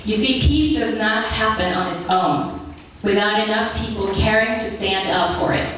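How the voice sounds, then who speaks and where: A young woman from Ethiopia giving an earnest, measured prepared speech at a public meeting. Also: mid-range voice, a bit bright, slight background noise. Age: young adult. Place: large meeting hall. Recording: echoey hall recorded from far off.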